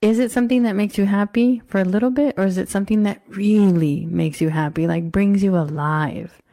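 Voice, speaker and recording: A woman's voice: mid-range voice, steady tone, a little fast, dark tone, no room sound, studio quality. A woman hosting a podcast who coaches people, asking eager, probing questions in a casual way.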